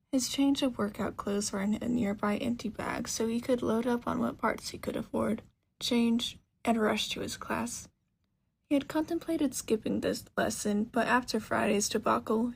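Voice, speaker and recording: Husky, creaky-voiced woman narrating a story. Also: fairly high pitch, a little mellow. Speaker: narrator, fan fiction reader.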